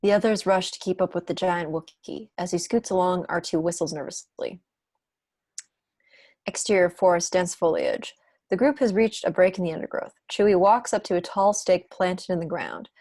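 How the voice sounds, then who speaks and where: Female narrator for script table read, slightly low pitch, dry sound, reads scene directions. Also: normal speed, a little mellow, no echo. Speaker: narrator reading stage directions. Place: indoor room at home, video call.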